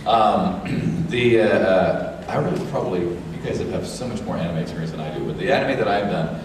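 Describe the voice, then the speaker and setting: A man with a low voice, a voice actor, answering on a convention panel with halting restarts, recorded from far off. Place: large convention hall. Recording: echoey hall from far off, noise.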